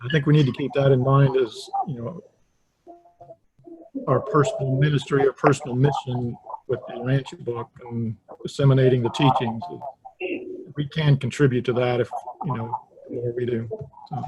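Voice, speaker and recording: A man's voice: mid-range voice, steady tone, normal speed, muffled recording, heavy background noise. A man in an online study group, reading passages formally and adding casual comments with fillers. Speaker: webinar participant.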